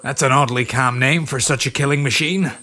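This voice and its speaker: A man's voice: mid-range voice, a little fast, pushed and bright, lightly acted. A man playing a wry, banged-up soldier in an audio drama, casually teasing his squadmates.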